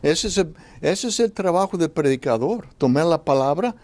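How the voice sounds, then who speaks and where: Hesitant Spanish-speaking preacher, dry sound. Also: male voice, on the high side, animated, moderate pace, darkish tone, dry recording. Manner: hesitant, frequent restarts. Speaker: panelist, preacher. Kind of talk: panel discussion.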